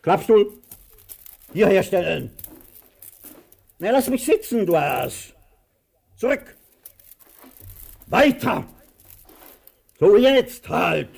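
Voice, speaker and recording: An actor in a radio play voicing a pompous, tyrannical landowner who mixes grandiose speeches with barked commands. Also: male voice, sits high, big pitch swings, drawn-out words, gravelly, pauses often, darkish tone, husky, exaggerated voice. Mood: barking, domineering. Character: pompous, tyrannical landowner, theatrical and domineering to his servant.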